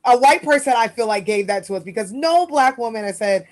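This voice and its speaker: A woman's voice: a little high, lively intonation, fairly quick. A woman from New York with a New York accent, co-hosting a casual podcast chat, talking emphatically and swearing freely. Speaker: podcast co-host.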